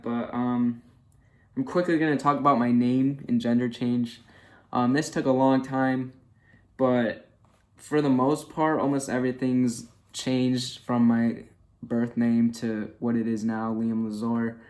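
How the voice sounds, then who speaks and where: A man's voice: mid-range voice, darkish tone. A twenty-one-year-old man chatting candidly in a casual personal vlog, with many fillers. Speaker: vlogger.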